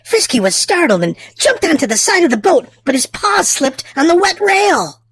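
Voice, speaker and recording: A woman hosting and narrating a children's storybook aloud, with theatrical, drawn-out emphasis for small children. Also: very high voice, sing-song, a bit bright. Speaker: children's storybook reader and channel host. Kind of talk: expressive read-aloud narration for young children.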